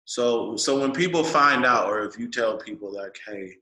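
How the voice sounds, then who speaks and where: Bright, forceful American man hosting a podcast. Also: mid-range voice, animated, normal speed. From born in Washington State, raised in Germany. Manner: informal, slangy, playful. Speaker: podcast host. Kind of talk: casual podcast chat. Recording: roomy, echoey podcast room.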